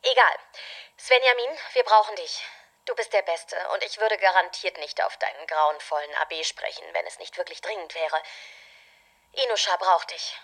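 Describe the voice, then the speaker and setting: A woman's voice: on the low side, moderate pace, fairly bright tone. An actress playing an urgent, businesslike woman at a crisis command in a comedic sci-fi audio drama. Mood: urgent, pleading.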